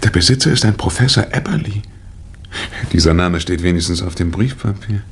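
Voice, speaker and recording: An actor playing a cool, wry amateur detective in a 1960 radio mystery, with dry, theatrical banter. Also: male voice, low voice, expressive, fairly quick. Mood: musing. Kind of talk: 1960 German radio mystery play acting. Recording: vintage vinyl radio play, noticeable surface noise.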